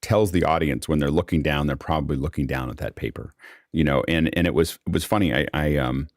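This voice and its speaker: A man's voice: rumbling low, a little fast, no echo, clean studio sound. A man hosting a casual panel discussion, giving rambling, anecdotal explanations as moderator. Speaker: host and moderator.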